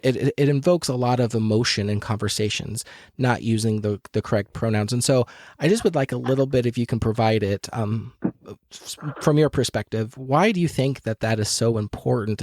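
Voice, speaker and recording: A man of mid-range pitch co-hosts a podcast and asks long, winding questions. He hesitates often and fills pauses with "um". The sound is dry and close. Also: darkish tone, no room sound. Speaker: podcast co-host. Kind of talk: interview questions.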